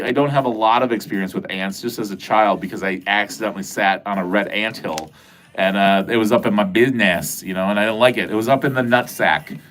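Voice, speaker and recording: A man's voice: medium pitch, a bit bright, low-quality recording. A middle-aged man hosting a casual livestream from home, rambling through viewer comments with filler-heavy, crude, jokey talk. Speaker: livestream host.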